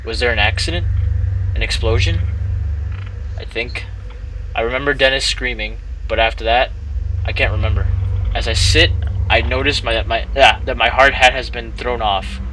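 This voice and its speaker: A man's voice: medium pitch, moderate pace, pauses often, pushed and bright, a little hiss or hum, rough recording. A man hosting a gaming video, chatting casually and reading story text aloud, often stumbling and repeating words.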